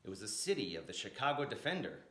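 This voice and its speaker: A man's voice: fairly low pitch, very animated, quick pace, fairly bright tone. A man reading a picture book aloud in a dramatic, emphatic way, drawing out key words. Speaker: storyteller, blues educator. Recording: echoey room.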